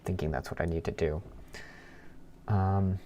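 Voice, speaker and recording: A man live-streaming as a programmer, thinking aloud in a halting mutter as he works, half talking to himself. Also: low voice, steady tone, mellow. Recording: keyboard clicks and background noise. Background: computer keyboard typing and clicking.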